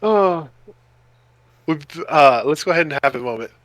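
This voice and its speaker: A man's voice: a little high, animated, moderate pace, fairly bright tone, no room sound. A man co-hosting a podcast in casual chat, playful and given to short exclamations. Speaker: podcast co-host.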